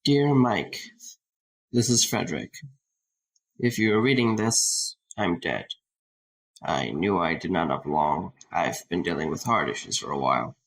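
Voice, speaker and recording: A man playing a grieving, awkward young former security guard in an audio drama, speaking haltingly. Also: low voice, animated, gravelly, heavily acted, low-quality recording. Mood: somber. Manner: halting, hesitant.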